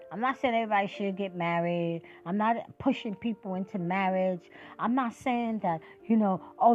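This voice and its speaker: A woman's voice: mid-range voice, muffled recording. A sixty-year-old woman hosting her own podcast, speaking in a passionate, emphatic monologue that rises and drops as she preaches her point. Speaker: podcast host.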